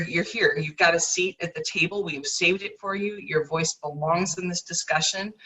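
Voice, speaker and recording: A woman guest speaks fluently and with enthusiasm over a video call. Her voice is bright, and she sits a little back from the mic. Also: sits low, far from the mic, rough recording. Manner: fluent, enthusiastic, well-practiced. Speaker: guest, rare disease advocate.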